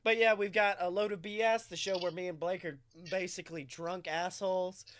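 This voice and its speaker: A man co-hosting a podcast, chatting casually in an excitable, jokey storytelling manner. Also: sits high, fairly quick, a little hiss or hum. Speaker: podcast co-host. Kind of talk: animated casual podcast chat.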